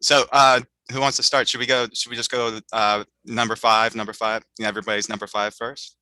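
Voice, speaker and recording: Rambling man host, very bright voice. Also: on the low side, level tone, brisk, dry recording, rough recording. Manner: rambling, filler-heavy. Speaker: podcast host. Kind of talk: casual chat.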